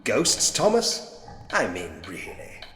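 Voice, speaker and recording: Wry gentleman investigator, very animated. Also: fairly low pitch, slow, a little hiss or hum, heavily acted. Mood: reassuring, sceptical. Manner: wry, muttering to himself, old-fashioned gentlemanly phrasing. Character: sceptical, dryly witty Edwardian gentleman investigator. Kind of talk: point-and-click game voice acting. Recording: echoey hall reverb, music underneath.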